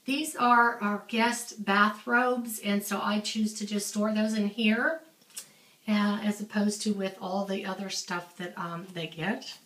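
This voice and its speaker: A woman's voice: medium pitch, fairly even intonation, takes it slowly, a bit bright. A woman giving a casual home tour of her laundry room, talking while filming with a handheld camera, with many ums and restarts. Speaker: home organizing vlogger. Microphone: handheld camera, off screen. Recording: echoey small tiled room.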